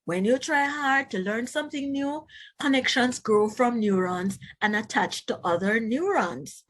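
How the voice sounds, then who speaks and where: A woman teacher reading a children's book aloud, pausing to explain and put questions to young listeners. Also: on the high side.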